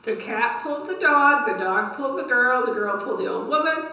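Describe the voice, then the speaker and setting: A woman's voice: a little high, animated, brisk, pushed and bright. An older woman hosting a story time, reading picture books aloud to young children with simple asides and questions. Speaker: library story time host. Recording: echoey room, distant microphone.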